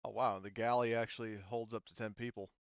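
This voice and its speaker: A man running a tabletop roleplaying game, casually explaining rules in a halting, rambling, self-interrupting way. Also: on the low side, level tone, smooth, no room sound, dull audio. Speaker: game master.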